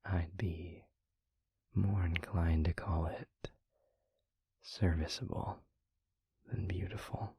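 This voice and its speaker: A man playing a tender, devoted demon lover in an intimate audio roleplay, speaking gently and poetically in a breathy, hushed whisper close to the microphone. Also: sits low, steady tone, dry recording, dull audio, exaggerated voice. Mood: loving, modest. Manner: slow, gentle, formal poetic phrasing. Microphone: very close microphone, dry.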